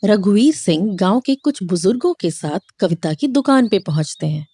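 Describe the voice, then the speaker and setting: A woman's voice: medium pitch, lively intonation, moderate pace, warm tone, a little hiss or hum, lightly acted. A woman narrating a cartoon moral story in a scripted storybook style.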